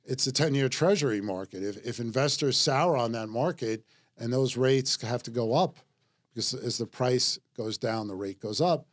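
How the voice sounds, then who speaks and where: A man hosting a real estate podcast in a casual panel talk, joking easily and reading out market figures. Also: mid-range voice. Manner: joking, easygoing, reads out news figures. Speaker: podcast host.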